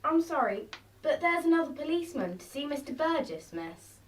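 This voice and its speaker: An actress playing a polite, deferential housemaid in a radio play. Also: female voice, high voice, big pitch swings, moderate pace, distant mic. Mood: apologetic, deferential.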